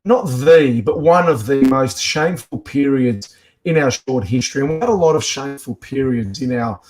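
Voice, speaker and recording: Australian guy in his 40s, bit croaky, fired up speech on a video call. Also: medium pitch, animated, moderate pace, darkish tone, rough recording. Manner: earnest, rising with emphasis. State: slightly croaky voice. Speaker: guest speaker, activist. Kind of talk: impassioned live-stream speech.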